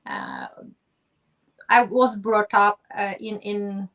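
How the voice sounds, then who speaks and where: A woman's voice: mid-range voice, wildly swooping pitch, takes it slowly, fairly bright tone. Effusive woman, distant mic. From Ukraine. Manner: effusive, grateful, non-native phrasing. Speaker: guest. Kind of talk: conversational interview.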